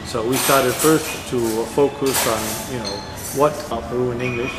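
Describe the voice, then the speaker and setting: Middle-aged Danish-born man, mid-pitched, rambling guest with lots of fillers. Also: fairly even intonation, moderate pace, very noisy. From Denmark. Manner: rambling, with many fillers and restarts. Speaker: interview guest. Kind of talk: casual interview answers.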